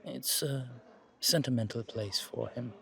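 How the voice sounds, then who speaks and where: A man's voice: mid-range voice, a little slow, creaky, pushed and bright, breathy edge, heavily acted. A man playing a charming, flirtatious male museum guide in an audio roleplay, chatting intimately with genteel, hesitant asides. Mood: fond, chatty. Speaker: character performer in an audio roleplay. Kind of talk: intimate ASMR roleplay monologue, conversational.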